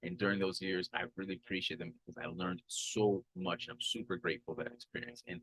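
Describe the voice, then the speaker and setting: A man's voice: low-pitched, fairly even intonation, fast talker, rough recording. A man in his thirties, from Haiti and raised in Florida, hosting a podcast and introducing himself in an upbeat, earnest way with frequent fillers and restarts. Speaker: podcast host.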